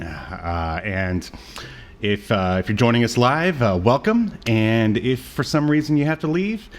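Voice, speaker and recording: A man in his late thirties co-hosting a podcast in casual chat, with a jokey, wry manner. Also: on the low side. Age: late 30s. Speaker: podcast co-host. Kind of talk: casual chat about old cartoons.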